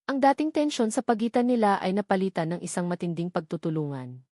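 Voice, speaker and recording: A woman's voice: mid-range voice, fast talker, mellow, dry recording. Woman narrating a drama, dry close sound. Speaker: story narrator. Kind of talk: scripted dramatic narration of a love story.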